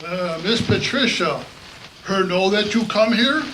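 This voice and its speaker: Guy doing a high voiced backwoods guide, broken english, ominous radio play, noisy live recording. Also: lively intonation, unhurried, bright, forceful tone, full character voice. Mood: wary. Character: ominous backwoods guide warning strangers in pidgin.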